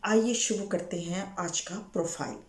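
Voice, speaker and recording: A Pakistani woman with a slightly hoarse, sore throat, presenting a profile informally to viewers as a matchmaker and mixing in English words. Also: fairly low pitch, normal speed, reverberant. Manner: Urdu mixed with frequent English words.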